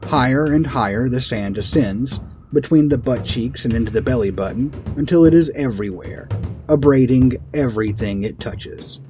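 A man narrating a comic fantasy story in a theatrical, deliberate dramatic-reading style. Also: medium pitch, music in the background.

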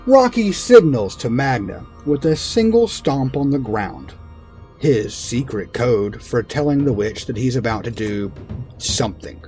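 Casting: male narrator, mid-pitched, theatrical and unhurried, for a comic fantasy reading with music underneath. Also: sing-song, a little mellow. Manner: theatrical, deliberate.

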